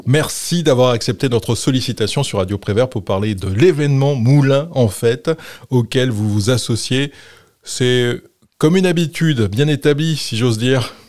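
Male radio host, measured and polite, mid-pitched voice. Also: a little mellow. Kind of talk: radio interview.

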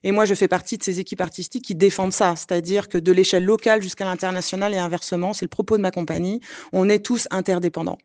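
A woman's voice: fairly low pitch, fairly even intonation, fast, a little mellow, no echo. Earnest woman choreographer, dry sound. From Le Mans, France. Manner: earnest, many fillers. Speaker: choreographer, company director. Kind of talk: debate testimony.

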